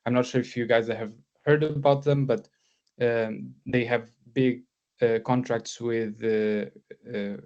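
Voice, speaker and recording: A man's voice: medium pitch, a little mellow. A man in his thirties from Portugal gives a guest lecture over a video call, talking with frequent fillers and restarts. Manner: hesitant, many fillers and restarts. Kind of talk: academic lecture. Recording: slightly dull lo-fi sound.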